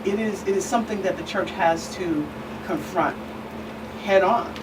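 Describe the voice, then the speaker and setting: A woman speaking as a guest expert in an earnest discussion, measured and emphatic, pausing between phrases. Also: on the low side. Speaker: guest expert, reverend and attorney. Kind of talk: earnest talk show discussion. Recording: distant mic, clear background hum.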